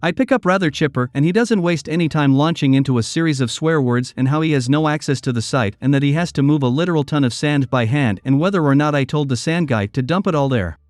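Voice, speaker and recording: A man narrating reddit stories in a steady, scripted storytelling read. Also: fairly high pitch, fast, few pauses, no room sound. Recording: dry close sound with soft music behind.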